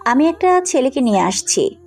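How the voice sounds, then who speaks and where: Audio drama daughter: blunt, caring young woman played by a woman, animated high voice, music underneath. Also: moderate pace, exaggerated voice. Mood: defiant. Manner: blunt, insistent. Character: headstrong, compassionate young woman, outspoken businesswoman daughter. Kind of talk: Bengali audio story acting.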